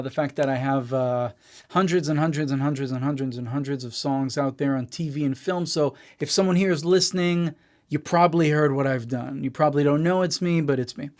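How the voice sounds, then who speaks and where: Relaxed American-sounding male musician. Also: a little high. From Israel. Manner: relaxed, self-deprecating, halting with many "uh"s. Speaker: guest musician and producer. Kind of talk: casual interview talk.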